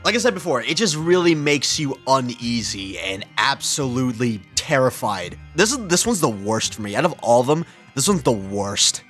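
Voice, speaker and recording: A man's voice: mid-range voice, lively intonation, moderate pace, hardly any pauses. A man doing casual reaction commentary in an informal, slangy way, reading captions aloud and breaking in with exclamations. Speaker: reaction video host. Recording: loud music under the voice. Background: loud music.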